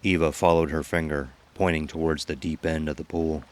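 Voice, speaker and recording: A man's voice: a bass voice, fairly even intonation, fairly quick, darkish tone. A man giving scripted narration of a horror story as its narrator. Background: music.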